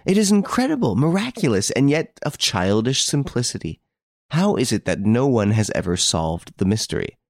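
A man voicing an excitable, easily astonished French gentleman in an audiobook, exclamatory and emphatic. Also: lowish voice, moderate pace, hardly any pauses, no echo, studio quality. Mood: amazed.

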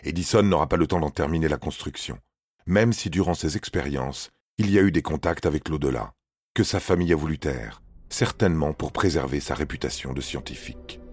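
A man's voice: very deep voice, a little fast, slightly rough, dry recording. A man narrating scripted paranormal stories in a grave, suspenseful, dramatic manner. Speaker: narrator of a paranormal true-story podcast.

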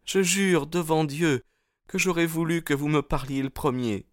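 Man voicing an anxious, pleading young woman, pitched high. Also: fairly even intonation, moderate pace, no room sound, clean studio sound, lightly acted. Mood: anguished. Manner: pleading, earnest. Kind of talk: audiobook character dialogue.

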